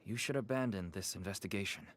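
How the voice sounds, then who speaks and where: An actor voicing a wary father in a shady trade for a video game, speaking in an earnest, measured, pleading way. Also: on the low side, dry recording, lightly acted. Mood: grave warning. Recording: game music behind.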